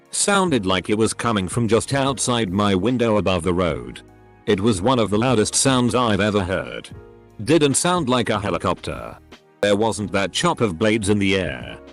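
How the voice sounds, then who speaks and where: A man reading short online stories aloud as scripted narration, one after another in an even storytelling delivery. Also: lowish voice.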